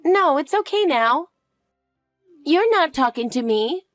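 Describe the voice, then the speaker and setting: A woman's voice: sits high, animated, slow, no echo, soft background music, pitched above the performer's own voice. A woman voicing a frightened little girl who clings to her mother with pleading questions. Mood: eager, pleading. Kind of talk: dramatic dialogue.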